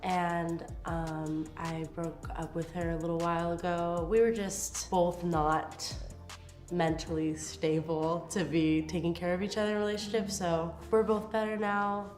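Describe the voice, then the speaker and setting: A woman's voice: fairly low pitch, fairly even intonation, unhurried, darkish tone, faint music behind. A woman on a dating show, talking in a frank, matter-of-fact way.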